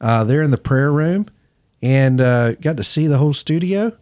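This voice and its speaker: A man's voice: medium pitch, animated, normal speed, darkish tone, dry recording. Radio guy chatting at a fundraiser, rambly with lots of uh's, dry studio mic. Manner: friendly, rambling with many fillers. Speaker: radio host. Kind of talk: casual on-air fundraiser chat.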